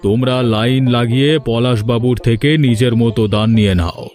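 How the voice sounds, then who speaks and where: A stern cartoon strongman of a village landlord, voiced by a man, speaking at a medium pitch. He is commanding and unforgiving, with a threatening manner, over background music. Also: fairly even intonation, a little fast, a little mellow, natural voice. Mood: formal announcing. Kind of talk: cartoon voice acting.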